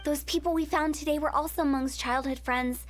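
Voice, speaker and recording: A woman's voice: sits high, fairly even intonation, moderate pace, soft background music, natural voice. A woman voicing a playful young female funeral parlor director in a video game, breezy, confident and mischievous. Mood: wry.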